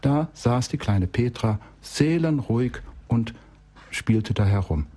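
A man's voice: medium pitch, lively intonation, slow, warm tone, slightly rough. A man telling an anecdote as an expert guest in a radio interview, acting out bits of dialogue as he goes. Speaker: expert guest, child-development specialist.

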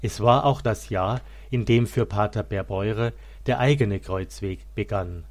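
A man reading a scripted religious biography as narrator in a solemn, measured manner. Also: fairly low pitch.

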